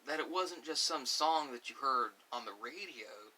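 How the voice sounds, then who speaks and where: A man's voice: mid-range voice, lively intonation, moderate pace, sharp tone, breathy edge. A man rambling informally in an ASMR music talk, musing and opinionated, often drifting into asides. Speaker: host of an ASMR channel. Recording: distant mic with hiss.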